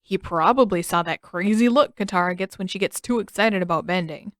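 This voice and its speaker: A woman's voice: mid-range voice, a little fast, no room sound, lightly acted. A woman acts out a teenage boy with a smug, sardonic streak. He teases like an older brother in a fantasy audiobook, recorded close and dry. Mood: dry, scolding. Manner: sardonic, teasing.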